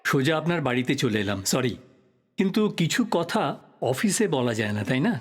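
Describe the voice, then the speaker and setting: A man's voice: mid-range voice, sing-song, normal speed. A man playing a polite but persistent investigative journalist in an audio drama, asking courteous questions conversationally. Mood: probing, pointed. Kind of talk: Bengali audio drama acting.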